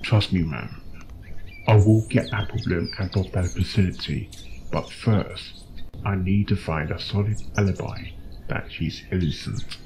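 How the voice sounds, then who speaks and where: A man's voice: deep voice, measured pace, noisy background. A man playing a composed, firm pony police detective in an audio story, polite and businesslike. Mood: assured. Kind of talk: character acting in an audio story reading.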